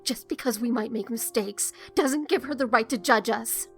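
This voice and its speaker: A woman's voice: on the high side, normal speed, faint music behind, close to an everyday voice. A woman acting in an audio drama as an earnest, uneasy teenage daughter, hesitant, subdued and confessional. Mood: hurt, indignant.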